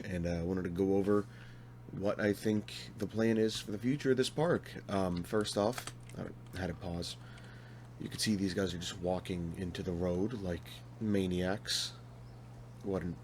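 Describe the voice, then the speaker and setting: A young man with a deep, low-pitched voice that is hoarse and worn, as if he is losing it. He talks loosely over gameplay, trailing off, correcting his own words and adding dry asides. A faint hum sits under the recording. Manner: rambling, self-correcting, wry asides. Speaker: video game builder. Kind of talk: casual commentary over gameplay.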